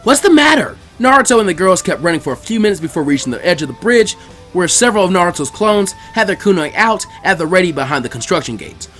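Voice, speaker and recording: A man's voice: high-pitched, lively intonation, quick pace, fairly bright tone, soft background music. A man narrating fan fiction as a scripted story reading.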